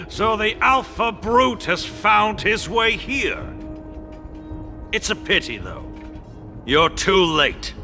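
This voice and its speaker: Cruel mocking warrior villain for a game: gravelly fry, swooping delivery, gloating, theatrical, music behind. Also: male voice, on the high side, exaggerated voice. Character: boastful bloodthirsty enemy warrior, cruel and mocking. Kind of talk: dramatic video game voice acting.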